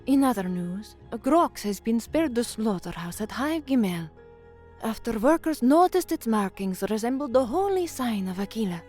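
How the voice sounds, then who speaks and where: An actress playing an anxious, earnest young woman from the slums in a dramatic first-person audio story, measured and introspective. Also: fairly high pitch, animated, warm tone, soft background music, natural voice. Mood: bright, professional.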